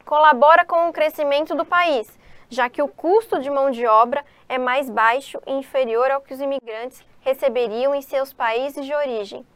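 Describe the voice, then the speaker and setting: Brazilian woman teacher, high voice, dry close sound, explains exam answers to students. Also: fairly bright tone, clear, resonant tone, dry recording. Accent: Brazilian Portuguese. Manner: explanatory, addresses students, asks and answers own questions. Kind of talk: exam-prep lesson, reading and explaining questions.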